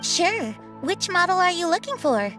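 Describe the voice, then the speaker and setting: A woman's voice: extremely high pitch, sing-song, normal speed, a bit bright, soft background music, heavily acted. An actress playing a polite, businesslike antiques saleswoman in a video game. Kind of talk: video game character acting.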